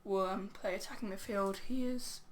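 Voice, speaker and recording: A woman with a crackly, hoarse voice giving casual, rambling video game commentary, full of "um" and "yeah". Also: mid-range voice, steady tone, normal speed. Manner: rambling, filler-heavy, "um, yeah". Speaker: game channel host.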